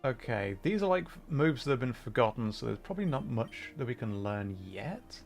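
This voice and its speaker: A man with a medium-pitched voice chats casually as he hosts a game livestream. He rambles thoughtfully, pausing and feeling for words. Music plays behind him. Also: big pitch swings, gravelly. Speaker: livestream host. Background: background music.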